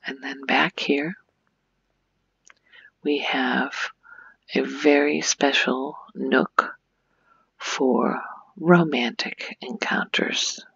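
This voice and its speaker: A soft, breathy woman gives an unhurried ASMR game tour, often dropping near a whisper. Her voice has a creaky edge, and the close, dry recording is slightly muffled. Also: a bass voice, steady tone, dry recording. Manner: gentle, unhurried, hushed. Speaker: video creator giving a game tour.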